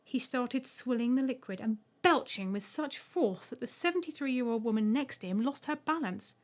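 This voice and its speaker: A woman with a fairly high voice narrates an audiobook short story. She reads from a script in storytelling style. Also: animated, normal speed.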